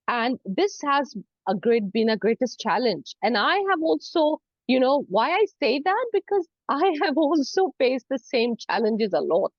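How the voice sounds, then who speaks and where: A woman's voice: on the high side, no echo, lo-fi sound. A woman from Saudi Arabia who speaks English as an additional language, answering conversationally as an interview guest and often saying "you know" as she explains earnestly. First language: not English. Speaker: guest, university lecturer in academic English.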